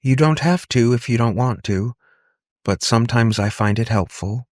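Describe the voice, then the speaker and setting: A man voicing a caring aunt in an audiobook story, speaking in a gentle, reassuring, concerned way. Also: mid-range voice, moderate pace, no echo, clean studio sound, pitched above the performer's own voice, lightly acted. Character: caring, gentle aunt comforting her young niece.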